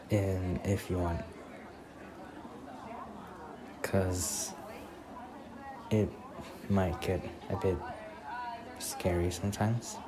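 Man playing a very low-voiced, tender young boyfriend. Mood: reassuring. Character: protective, tender, popular young boyfriend on a date. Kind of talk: ASMR boyfriend roleplay. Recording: clearly noisy recording with ambient sound.

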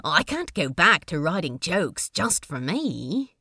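A woman's voice: on the low side, sing-song, takes it slowly, sharp tone, breathy edge, no room sound, studio quality, heavily acted. A woman voicing a smitten, wry male comedian with a teasing drawl, in a fan fiction audio drama. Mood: fond. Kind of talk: fan fiction podfic character acting.

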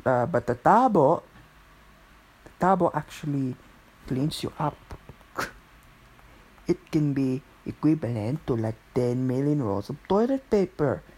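A man's voice: mid-range voice, animated, measured pace, pauses often, a little mellow, low-quality recording. A man with a Filipino accent hosting a solo podcast in a rambling, casual way, gushing about things and searching aloud for words. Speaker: podcast host.

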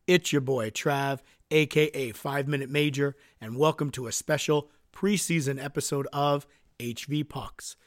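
A man's voice: on the high side, dry recording, studio quality. A man hosting a solo podcast, earnest and dotted with uhs, sounding nasal from a cold. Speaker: podcast host.

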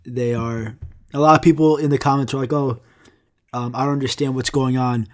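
A young man hosting his own podcast while sick, rambling casually with lots of swearing and deadpan jokes. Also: medium pitch, a little fast. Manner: profane, rambling, deadpan jokey. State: sick, congested. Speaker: podcast host.

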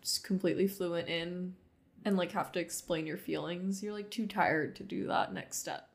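A woman's voice: fairly low pitch, steady tone, moderate pace, gravelly. Female podcast co-host, blunt, dry humor, swears casually. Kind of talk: casual chat.

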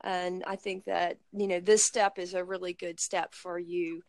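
A woman's voice: fairly low pitch, little pitch movement, a little slow. Chatty Appalachian woman in her forties. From Blue Ridge Mountains, United States. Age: 40s. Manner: encouraging, chatty, warm praise. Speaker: conversation partner. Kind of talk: casual conversation practice.

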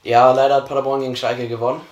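A hoarse teenage boy co-hosting a podcast, chatting casually and joking with many restarts. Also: medium pitch, little pitch movement, racing, muffled recording. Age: teens. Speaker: podcast co-host. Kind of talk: casual chat. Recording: roomy echo.

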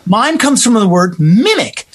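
A middle-aged American man explaining things in an animated, conversational way, with a theatrical storyteller's habit of acting out little quotes. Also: high voice, measured pace, husky. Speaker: guest, theater playwright, actor and director.

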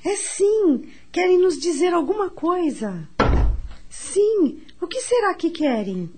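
A woman's voice: very high-pitched, very animated, slow pace, background noise, full character voice. A woman acting a polite, earnest and curious young niece in a period radio drama, with a refined manner and a Brazilian accent. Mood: earnest, intrigued. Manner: courteous, refined. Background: music.

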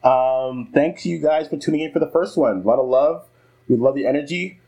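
A young man with a mellow, warm voice co-hosts a casual basketball podcast. He talks bluntly, swears freely and laughs often. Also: mid-range voice, fairly quick, rough recording. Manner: blunt, profane, laughs easily. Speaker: podcast co-host. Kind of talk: casual sports chat.

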